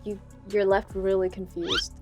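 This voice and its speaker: A young woman from Vietnam, a singer talking about her music in a casual interview, with a relaxed, hesitant way of speaking full of fillers. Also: mid-range voice, measured pace. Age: young adult. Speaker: singer guest.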